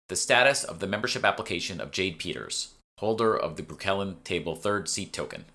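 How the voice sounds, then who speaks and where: A man voicing a formal, measured guild secretary running a meeting in a fantasy audiobook. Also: low-pitched, sharp tone, exaggerated voice. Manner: officious, measured, formal wording. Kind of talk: audiobook character dialogue.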